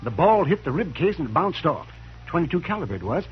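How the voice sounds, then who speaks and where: An actor playing a fussy, wordy town doctor in a 1950s radio drama, talking in a halting, polite way. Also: male voice, a little high, very animated, faint music behind. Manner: halting, with many uhs and polite asides. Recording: dull old radio broadcast with faint hiss.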